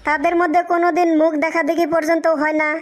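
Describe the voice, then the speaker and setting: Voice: very high-pitched, flat delivery, fast. A performer voicing a cheeky, naive schoolchild in a comic cartoon, pleading and earnest in manner.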